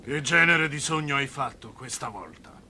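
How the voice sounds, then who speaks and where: A man voicing a brooding, resentful elder brother, a shepherd, in an animated film dub. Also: medium pitch, moderate pace. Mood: sarcastic, brooding. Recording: noisy ambience behind cartoon dialogue.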